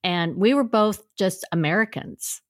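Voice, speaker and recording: A woman's voice: fairly low pitch, lively intonation, unhurried, no room sound, studio quality. A middle-aged woman interviewing a guest, speaking in an earnest, reflective way with frequent restarts. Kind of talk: conversational interview.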